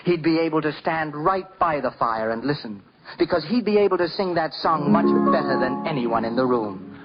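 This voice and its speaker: Man as radio storyteller, fairly high voice, theatrical, tender, deliberate, dull vintage recording. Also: lively intonation, close to an everyday voice. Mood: tender, earnest. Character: male storyteller, tender, wondering, dramatic. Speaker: narrator. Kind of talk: 1950s radio storytelling, scripted narration. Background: music.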